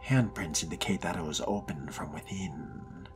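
A man voicing a dutiful but privately scornful vampire fledgling in a game role-play, with a hushed, deliberate drawl. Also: low-pitched, natural voice. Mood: measured, deferential. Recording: music behind the voice.

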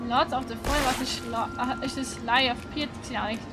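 A woman's voice: high-pitched, steady tone, fast, loud music behind the voice. A woman chatting casually as co-commentator over someone else's video game play. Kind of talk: casual chat over gameplay.

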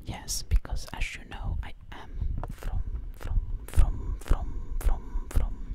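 Very slow, breathy whispering woman. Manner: very slow, lingering word repetition. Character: sweetened zombie princess from the 1920s. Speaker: ASMR creator. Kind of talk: ASMR role-play with repeated words and rambling. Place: dim living room, indoors. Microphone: close-up ear-to-ear microphone.

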